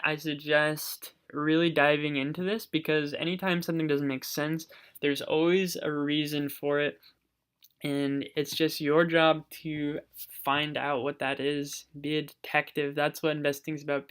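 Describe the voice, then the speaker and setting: Investing host doing a walkthrough, bright and crisp, laid-back with lots of ums. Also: mid-range voice. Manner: casual, thinking aloud with frequent ums; advisory, coaching viewers directly. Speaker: channel host. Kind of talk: screen-share stock research walkthrough.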